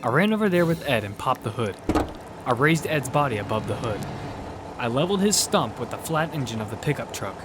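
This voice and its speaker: Man narrating action fiction over loud music. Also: medium pitch, very animated, a little fast. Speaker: first-person narrator and character in an audio fiction. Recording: loud music bed behind the voice.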